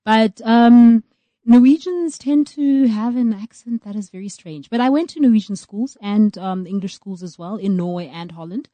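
A woman's voice: medium pitch, normal speed, dark tone, no room sound. A middle-aged South African woman speaking thoughtfully in a casual chat, often laughing. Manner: thoughtful, reflective, laughs easily. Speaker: guest.

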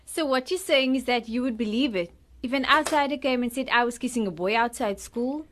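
A woman's voice: fairly high pitch, brisk, a little hiss or hum, lightly acted. A woman playing a cheeky, argumentative teenage schoolgirl in a radio drama, with a South African accent. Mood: cheeky, challenging. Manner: argumentative, challenging. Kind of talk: amateur radio drama acting.

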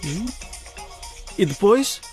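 A man's voice: high-pitched, big pitch swings, drawn-out words, darkish tone, faint music behind, heavily acted. A man playing a frank, nosy young friend in a radio drama, direct and probing. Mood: curious.

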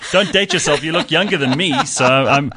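A man's voice: fairly high pitch, normal speed, sharp tone, breathy edge. A man hosting an interview, chatty and teasing, often repeating words as he builds his questions. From South Africa. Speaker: talk show host. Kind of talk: conversational interview.

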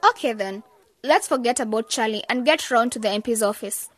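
A woman's voice: a little high, brisk, no echo, lightly acted. An actress playing an eager, image-conscious young woman in a radio drama. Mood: earnest.

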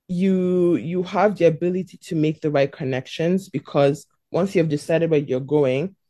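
Guest in her twenties from South Africa, warm and thoughtful, close and dry. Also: deep voice, dry recording. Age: 20s. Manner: thoughtful, searching for words. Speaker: stylist and guest. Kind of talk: interview answers.

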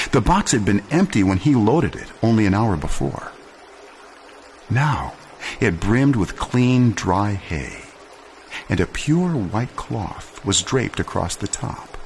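Male narrator for a dramatic story reading: lower register, widely varied intonation, measured and solemn, faint noise behind. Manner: measured, solemn, expressive storytelling with deliberate pauses.

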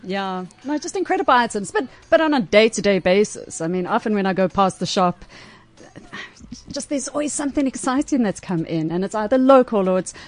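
A woman's voice: mid-range voice, animated, a little fast. A woman guest on a radio show, gushing about items and repeating words as she hunts for them. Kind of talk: casual radio chat. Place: radio studio.

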